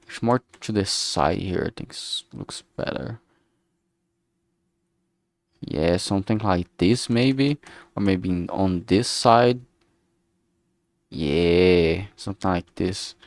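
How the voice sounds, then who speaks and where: A man streaming as he works, thinking aloud casually in a halting way and muttering to himself. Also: low voice, no room sound. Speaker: streamer making a visual novel. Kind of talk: casual think-aloud art livestream commentary.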